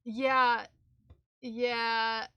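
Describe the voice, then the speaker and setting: A woman's voice: on the high side, little pitch movement, drawn-out words, clear, resonant tone, dry recording, lo-fi sound. Giggly, rambling young woman streamer. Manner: rambling, giggly, slips into accents and sings. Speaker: livestreamer knitting for charity. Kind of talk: casual live-stream chat.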